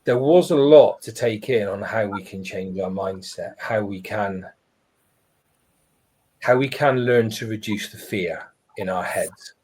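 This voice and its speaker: A man telling a personal story on a live webinar, reflective and earnest, pausing and restarting as he goes. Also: lowish voice, darkish tone, slightly rough, rough recording. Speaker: webinar panelist.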